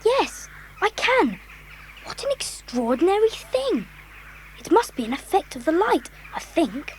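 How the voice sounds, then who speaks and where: A woman playing a sensible, well-spoken older schoolboy, the calm leader in a children's audio drama. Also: very high-pitched, measured pace, slight background noise, full character voice. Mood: amazed.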